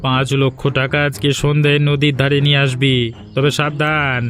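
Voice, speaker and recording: A man's voice: mid-range voice, little pitch movement, fairly quick, fairly bright tone, close to an everyday voice. A man playing a scheming, menacing bandit and kidnapper in a Bengali cartoon. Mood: threatening. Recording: music bed under the voice. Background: background music.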